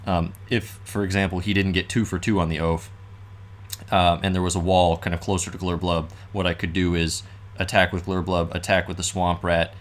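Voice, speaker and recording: A young man, slightly hoarse, casually thinking aloud and explaining board game tactics with many fillers. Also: low-pitched, flat delivery, moderate pace. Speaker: board game show co-host.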